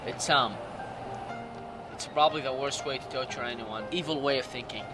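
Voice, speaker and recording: A man in his late teens from Iraq with a Middle Eastern accent gives personal testimony in halting, non-native English. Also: medium pitch. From Iraq, Jordan and Syria. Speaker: documentary interview subject. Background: music.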